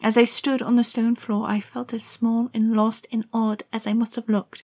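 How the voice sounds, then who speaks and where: A woman's voice: fairly high pitch, little pitch movement, normal speed, darkish tone. A woman voicing a lonely, contrary young girl who narrates her own story in an audio drama. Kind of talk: first-person storybook narration.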